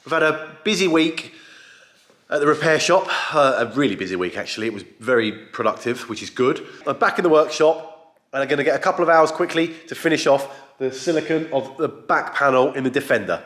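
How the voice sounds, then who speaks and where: A man vlogging casually from his workshop, joking and self-deprecating, with a croaky voice. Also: medium pitch, expressive, a little fast, fairly bright tone. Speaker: vlogger building a coffee van. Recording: echoey workshop.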